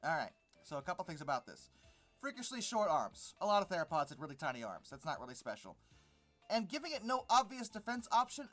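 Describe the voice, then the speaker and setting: Animated, sarcastic commentator with music underneath. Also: medium pitch. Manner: exasperated, sarcastic, emphatic. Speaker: video host. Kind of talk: informal reaction commentary on a read-out article.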